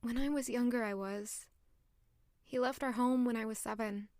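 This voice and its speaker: An actress playing a young female palace servant who is polite and deferential, speaking softly. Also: a little high, steady tone, moderate pace, dry recording, studio quality, close to an everyday voice. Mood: wistful. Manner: polite, deferential and soft-spoken. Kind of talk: audio drama acting.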